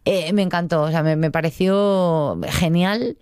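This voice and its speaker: Chatty Spanish woman with a clear voice. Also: lowish voice, steady tone, measured pace, darkish tone, dry recording, studio quality. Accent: Spain. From Spain. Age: middle-aged. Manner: chatty, informal, digressive. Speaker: book segment contributor on a show. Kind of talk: conversational book review.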